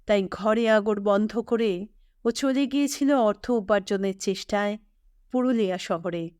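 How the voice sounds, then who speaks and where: Woman narrator, slow pace, smooth resonant voice, expressive literary reading, dry sound. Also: medium pitch, no echo. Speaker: storyteller and channel host. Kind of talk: scripted literary story narration in Bengali.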